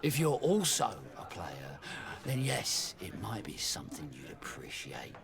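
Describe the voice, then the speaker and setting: A man's voice: deep voice, wildly swooping pitch, a little slow, gravelly, a crowd in the background, full character voice. A man playing a chatty, sly ex-soldier tavern keeper in a video game, with a folksy manner. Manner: folksy, colloquial. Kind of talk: video game dialogue acting.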